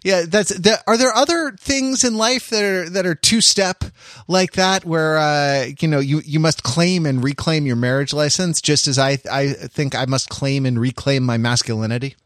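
An American man co-hosting a podcast in casual comedic banter, talking in a playful, rambling, halting way. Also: a little high, fairly bright tone, no echo, clean studio sound. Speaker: podcast co-host.

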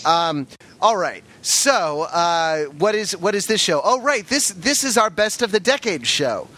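A man's voice: high voice, lively intonation, measured pace, bright, forceful tone. A man hosting a podcast in casual chat, a little sick, rambling with lots of fillers and restarts. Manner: rambling, self-interrupting with fillers. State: sick, under the weather. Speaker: podcast host.

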